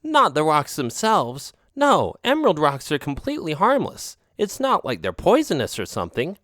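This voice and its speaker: A man's voice: on the high side, wildly swooping pitch, normal speed, a bit bright, no echo, studio quality, pitched above the performer's own voice. A man doing a knowing alley tomcat. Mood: warning. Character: knowing, cheerful male alley cat.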